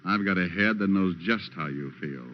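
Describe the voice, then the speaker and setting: An actor playing a hard-boiled private detective with an American accent, narrating his case with wry, deadpan wisecracks in an old radio drama. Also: deep voice, moderate pace, heavy background noise, close to an everyday voice. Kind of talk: 1940s-50s radio detective drama acting. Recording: dull old radio recording with music.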